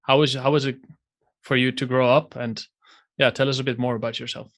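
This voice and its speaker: Bright-voiced male podcast host. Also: mid-range voice, little pitch movement, brisk. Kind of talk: podcast intro and interview.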